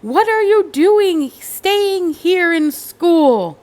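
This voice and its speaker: A woman voicing an exasperated, worried father in a children's storybook, loud and emphatic. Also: extremely high pitch, animated, extremely slow, voice pushed up, exaggerated voice. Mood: exasperated, yelling. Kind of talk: children's storybook read-aloud.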